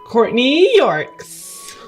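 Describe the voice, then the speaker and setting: A woman's voice: high voice, huge pitch swings, extremely slow, faint music behind. A woman chatting casually as a player on a tabletop game podcast. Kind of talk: casual chat.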